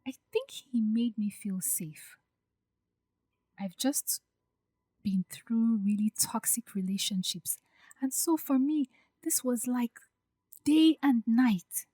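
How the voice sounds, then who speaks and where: Slow, soft, anxious young woman played by an actress. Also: on the high side, no echo. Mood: vulnerable, reflective. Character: anxious, hurt, oversensitive young bride-to-be confiding her doubts. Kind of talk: acted audio drama dialogue.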